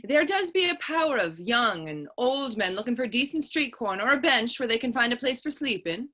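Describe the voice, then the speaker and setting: An actress playing a sassy, quick-witted young Irish woman in a stage play reading, saucy and challenging in folksy phrases. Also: on the high side, animated, bright, forceful tone. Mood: inquisitive. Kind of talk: stage readers theater dialogue.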